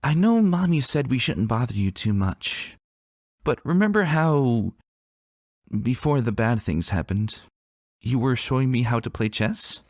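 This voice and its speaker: A man's voice: mid-range voice, expressive, measured pace, a little mellow, no room sound, studio quality, pitched above the performer's own voice. A man voicing an eager, argumentative little pony princess, childlike and earnest, in a dramatic story reading. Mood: hopeful, curious. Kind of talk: dramatic fan-fiction reading.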